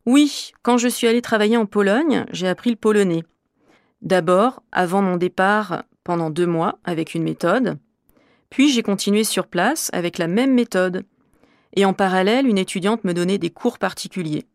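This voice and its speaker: A woman from Normandy, a French teacher, answering interview questions in a measured, clear, explanatory way. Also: medium pitch, no room sound, studio quality. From Normandy, France.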